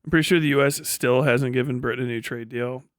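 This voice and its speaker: A man's voice: medium pitch, steady tone, quick pace, dry recording, clean studio sound. An American man streaming live, chatting casually with his audience in a blunt, profane, teasing way. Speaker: live streamer and host reading chat. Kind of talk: casual livestream chat with joking rants.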